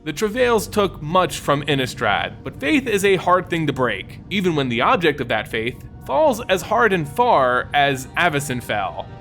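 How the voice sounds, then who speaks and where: Expressive, dramatic male storyteller. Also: on the high side, soft background music. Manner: theatrical, suspenseful, deliberate phrasing. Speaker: narrator. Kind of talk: scripted dramatic story narration.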